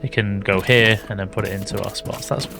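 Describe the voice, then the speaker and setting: Chatty British man, slightly raspy, low voice. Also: fairly even intonation, a little fast, over music. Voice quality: slightly raspy from early morning. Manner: thinking aloud, self-correcting, chatty. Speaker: gaming YouTuber. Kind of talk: casual let's-play commentary.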